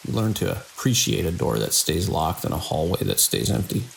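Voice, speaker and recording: An actor as a seasoned night-watch security chief, very low and full of gravel. He is wry and world-weary in this audio drama, measured but with lively inflection, over faint hiss. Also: heavily acted. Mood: reflective. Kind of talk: audio drama dialogue and log monologue. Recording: faint hiss and rain-like noise.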